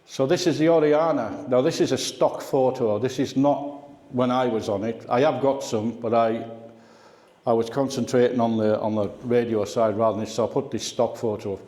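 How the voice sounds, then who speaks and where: A man's voice: medium pitch, fairly even intonation, moderate pace, warm tone. An older man giving an informal slide talk of personal reminiscences, explaining things in a conversational way. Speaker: guest speaker at a radio club. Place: indoor club meeting room. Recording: echoey, reverberant meeting room.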